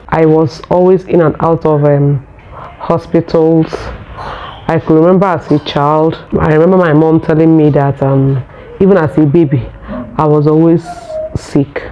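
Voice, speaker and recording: A Nigerian woman who speaks English as a second language, telling her own story in a vlog in an earnest, unhurried way. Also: low voice, muffled recording, a little hiss or hum. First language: Nigerian local language. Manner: earnest, unhurried, searching for words. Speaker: vlogger.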